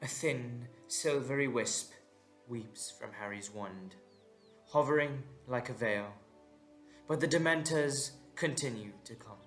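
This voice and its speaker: A man narrating a scripted audio drama in a measured, suspenseful manner, with deliberate dramatic pauses. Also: mid-range voice, fairly bright tone, faint music behind.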